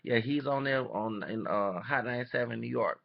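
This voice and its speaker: Male vlogger, mid-pitched and full of vocal fry, halting casual commentary with restarts, muffled webcam sound. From Texas, United States. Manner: rambling, halting, repeats and self-corrects. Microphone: webcam microphone. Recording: dull lo-fi webcam audio.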